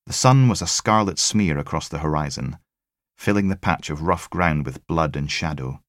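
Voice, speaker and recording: A man's voice: rumbling low, animated, moderate pace, dry recording, studio quality. A man reading a crime thriller as scripted audiobook narration.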